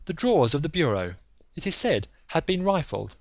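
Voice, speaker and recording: A man voicing an analytical, self-assured gentleman detective in an audiobook, lecturing precisely and emphatically on his deductions. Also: on the high side, very animated, normal speed, no echo, lo-fi sound. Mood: methodical, assured. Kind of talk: dramatized audiobook dialogue.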